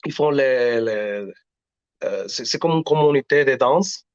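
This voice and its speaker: Singer guest, male, halting and earnest, middle pitch, muffled lo-fi recording. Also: clean tone, no room sound. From Latin America. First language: Spanish. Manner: earnest, halting, frequent restarts. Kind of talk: casual interview talk. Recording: dull lo-fi audio.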